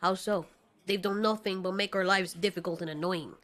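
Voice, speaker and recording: A woman's voice: on the low side, fairly even intonation, brisk, a bit bright, natural voice. A woman playing a brash, hot-tempered male flying monster in an audio drama, sarcastic, dismissive and foul-mouthed.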